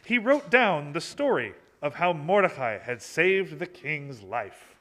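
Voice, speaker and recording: A man narrating a puppet show for children in simple, measured, theatrical phrases. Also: on the high side, big pitch swings, a bit bright. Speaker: storyteller, narrator. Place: social hall, live broadcast.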